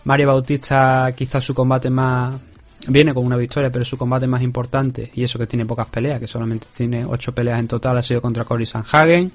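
Male podcast host, middle pitch, measured and explanatory sports commentary, conversational delivery. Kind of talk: MMA event preview commentary.

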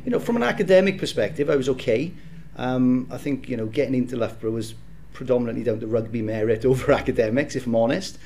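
A man in his thirties from South Wales with a Welsh accent, a podcast guest reflecting on his career in a warm, easygoing way with easy laughs. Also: medium pitch, fast talker, a little hiss or hum. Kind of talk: casual interview chat.

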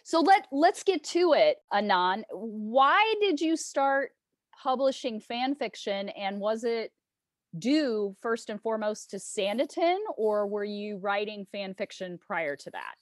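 Lady hosting a podcast, asking questions casually, average pitch, really dry sound with no room. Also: lively intonation, measured pace, no echo. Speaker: podcast host, interviewer. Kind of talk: conversational interviewing.

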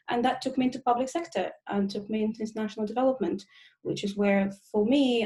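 A woman from Russia answers questions on a podcast as a guest expert. Her voice is soft and mellow. She speaks fluently in long, well-built explanations, often pausing on a quick "um" before going on. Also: medium pitch. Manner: fluent and articulate, with frequent "um" fillers. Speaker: guest, procurement and sustainability consultant.

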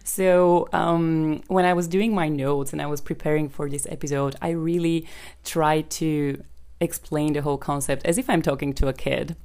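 Low-voiced woman from Bulgaria hosting a podcast. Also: fairly even intonation, moderate pace, a little mellow. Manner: chatty, conversational, frequent ums. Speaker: podcast host and business coach. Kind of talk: solo podcast explainer.

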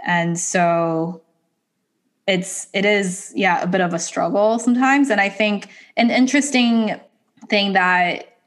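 A woman in casual conversation, thoughtful and measured, pausing with hesitant fillers. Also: medium pitch, steady tone.

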